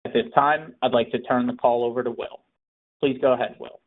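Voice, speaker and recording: A man's voice: medium pitch, steady tone, a little fast. A man working as an investor relations moderator, formally reading a scripted introduction and disclaimer. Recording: narrow conference phone line.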